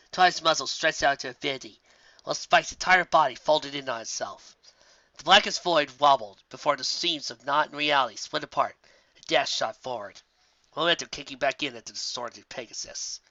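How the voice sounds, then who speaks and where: Sharp, bright male story narrator, lo-fi. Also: medium pitch, dry recording. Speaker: narrator, fan fiction reader.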